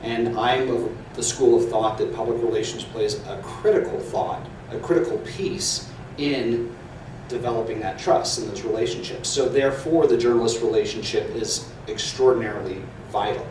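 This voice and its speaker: A man's voice: medium pitch, noisy background. Earnest man in an echoey hall. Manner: measured, earnest, with frequent "um" fillers. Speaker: panelist, public relations professor. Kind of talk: conference panel remarks. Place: large echoey conference hall. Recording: echoey hall audio from far off.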